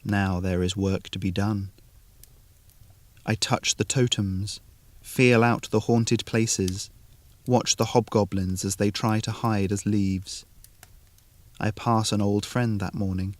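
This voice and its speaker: A man reading an atmospheric short story in a deliberate, measured, literary manner. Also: on the low side, steady tone, no room sound. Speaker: narrator.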